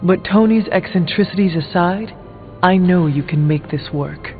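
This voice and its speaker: An actor playing a serious, resolute warrior hero in a video game, with a measured, earnest and direct delivery. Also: male voice, sits high, narrow, tinny audio. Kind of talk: scripted video game character dialogue. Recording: band-limited, reverberant game audio with music.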